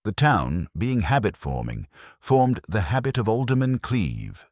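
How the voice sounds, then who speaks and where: A man reading a scripted literary mystery as narrator, in a measured, formal storytelling manner. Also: on the low side, huge pitch swings, darkish tone, no room sound.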